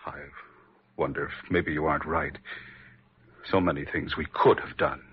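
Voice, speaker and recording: Stiff, humbled middle-aged dad, bass voice, halting delivery, for a vintage radio drama with dull, faintly hissy sound. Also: male voice, steady tone. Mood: chastened. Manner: halting, subdued. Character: chastened, stiff middle-aged father softening with doubt. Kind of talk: 1940s radio drama acting.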